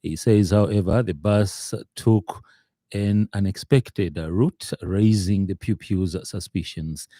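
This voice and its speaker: A man with a Zambian accent reading a scripted radio news bulletin in a formal, measured way. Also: low voice, mellow, no echo, clean studio sound.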